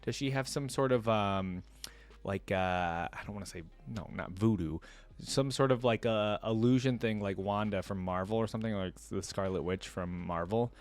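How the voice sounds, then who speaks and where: Low-voiced man reading and reacting. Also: moderate pace. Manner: informal, exclaiming, interjecting his own commentary. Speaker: reaction video host. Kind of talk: reading a story aloud with casual reactions.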